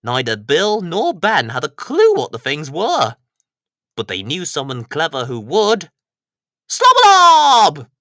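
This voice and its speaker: Animated man narrating a children's story. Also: fairly high pitch, measured pace, no echo, clean studio sound.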